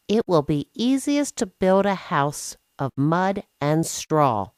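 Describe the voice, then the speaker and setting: A woman's voice: on the low side, very animated, slow, a little mellow, no echo, clean studio sound. A woman voicing a lazy, playful young boy pig in a children's story, grumbling and sighing. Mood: lazy, carefree.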